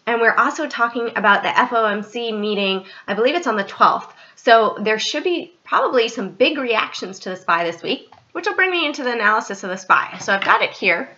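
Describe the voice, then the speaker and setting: A woman hosts a casual trading commentary over her screen, her voice stuffy and a little scratchy. The tone is bright and forward, and the recording is dull and lo-fi. She talks straight to viewers and keeps correcting herself on the fly. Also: fairly high pitch, expressive, a little fast, few pauses. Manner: chatty, direct address, frequent self-corrections. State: stuffy and slightly scratchy from allergies. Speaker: trading channel host.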